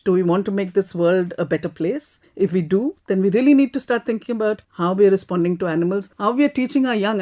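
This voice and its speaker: Female activist panelist, Indian accent, soft dark timbre, emphatic and persuasive. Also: medium pitch. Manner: emphatic, rhetorical questions. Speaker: panelist, animal rights activist. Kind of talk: opinionated panel discussion.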